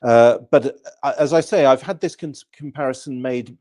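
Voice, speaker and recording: A man hosting an online interview show, introducing his guest in a measured way with frequent filler sounds. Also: mid-range voice, warm tone. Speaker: host.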